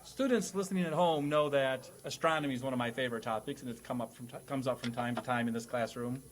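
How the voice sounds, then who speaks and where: A man hosting a comedy quiz as a mock schoolteacher, speaking with halting, playful formality. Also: mid-range voice. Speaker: host and quizmaster playing a classroom teacher.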